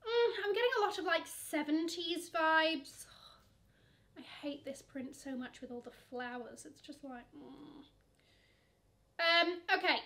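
A woman's voice: high voice, fairly bright tone. A young woman chatting through luxury online shopping, sassy and gushing, calling bags "she" while seated at her camera. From England. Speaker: fashion vlogger. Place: bedroom with shelves of shoes.

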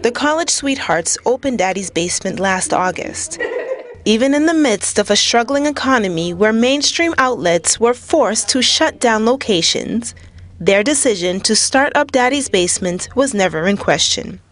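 A woman's voice: fairly high pitch, expressive, normal speed. A woman reporter reading a scripted narration for a local news feature.

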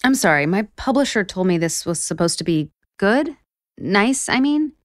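A woman's voice: mid-range voice, big pitch swings, moderate pace, lightly acted. A woman playing a sarcastic, exasperated author in a romantic comedy audio drama. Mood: weary, incredulous. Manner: incredulous, sardonic.

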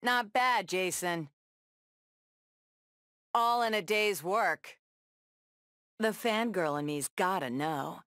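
Sassy tough superhero woman in a fighting game, lowish voice, slow punchy taunts, dry close sound. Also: expressive, a bit bright, no room sound. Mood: defiant. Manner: taunting, wry, punchy one-liners. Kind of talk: video game battle banter.

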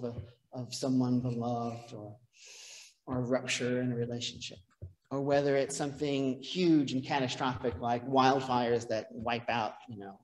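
A man's voice: medium pitch, darkish tone. A middle-aged man, a priest, giving a conversational sermon from the pulpit with self-deprecating asides. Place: church sanctuary, behind a pulpit. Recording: long church reverb.

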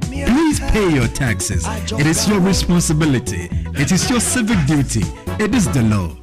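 A man's voice: on the high side, huge pitch swings, normal speed, music in the background. A man narrating a scripted public service announcement with an earnest, persuasive delivery.

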